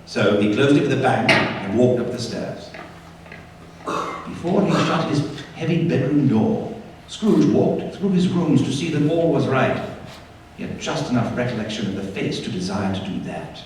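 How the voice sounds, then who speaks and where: A man acting as narrator of a staged radio play, telling a ghost story with suspenseful, deliberate phrasing. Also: medium pitch, big pitch swings, darkish tone. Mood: measured storytelling. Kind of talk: dramatic scripted narration. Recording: echoey hall, distant mic, faint noise.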